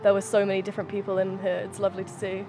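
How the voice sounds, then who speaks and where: A woman's voice: medium pitch, completely flat delivery, rapid-fire, dark tone, slight background noise. A woman interviewing people at an outdoor community event, upbeat and affirming. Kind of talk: casual interview.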